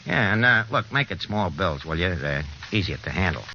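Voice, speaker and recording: An actor playing a wisecracking American small-time forger in an old radio drama, with slangy, hesitant, streetwise talk. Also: male voice, low-pitched, animated, normal speed, bright, forceful tone, exaggerated voice. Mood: sly, casual. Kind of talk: 1940s radio drama acting. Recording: dull, noisy old radio recording.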